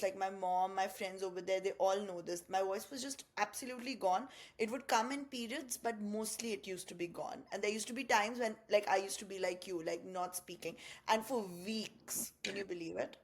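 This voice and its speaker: A woman's voice: medium pitch, brisk, few pauses. A young woman from India co-hosting a podcast, talking in a reflective, rambling way with many fillers. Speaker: podcast co-host. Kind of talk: casual chat.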